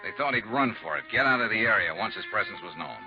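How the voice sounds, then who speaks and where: A man playing a steady, world-weary American investigator, narrating in a terse, measured, hard-boiled 1950s radio drama style. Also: fairly low pitch, vocal fry, over music. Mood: grim, urgent. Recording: muffled, noisy 1950s radio recording.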